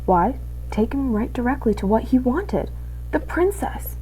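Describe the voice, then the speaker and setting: A young woman reads a storybook aloud as an expressive narrator. Her tone is mellow and her pitch moves up and down a great deal, with faint noise in the background. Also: measured pace, low-quality recording. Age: young adult. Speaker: student reading a storybook aloud.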